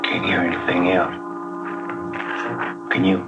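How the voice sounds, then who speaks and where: Laconic, lowish-voiced detective sidekick. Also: male voice, monotone, unhurried, highs cut off, over loud music. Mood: tense waiting. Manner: laconic, conversational. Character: plain-spoken male detective partner, wryly grumbling. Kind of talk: 1940s radio acting. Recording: old radio drama recording.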